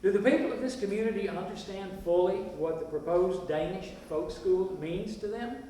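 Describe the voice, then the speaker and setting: A man on stage playing a courteous, plainspoken 1920s country community leader, speaking in a folksy, deliberate, declamatory way. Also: sits high, warm tone, natural voice. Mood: formal, oratorical. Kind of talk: amateur stage play, speechmaking. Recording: distant echoey hall recording, background noise.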